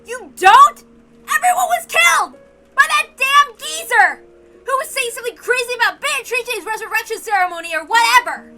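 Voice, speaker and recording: A woman voicing a hot-headed, anguished, foul-mouthed young man with a blunt, shouting delivery in a dramatic mystery reading. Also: extremely high pitch, animated, normal speed, faint music behind, voice pushed up, full character voice. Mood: furious.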